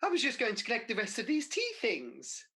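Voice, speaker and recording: Cheeky, swooping, husky Mother Goose played by a woman. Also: a little high, wildly swooping pitch, brisk, sharp tone, full character voice. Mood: bemused, earnest. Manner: exclamatory, comic, flippant. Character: cheeky, scatterbrained Mother Goose, pantomime dame type. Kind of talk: pantomime radio play acting.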